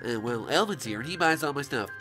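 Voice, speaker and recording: A man's voice: medium pitch, lively intonation, fast talker, pushed and bright, faint music behind. A man voicing a smug, theatrical fashion-store owner with a drawling salesman manner. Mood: salesy.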